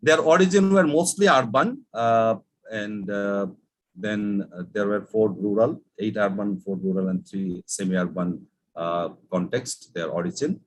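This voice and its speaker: A man, a Bangla speaker, giving an academic research presentation with many hesitant filler pauses. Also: lowish voice, rough recording. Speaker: conference presenter.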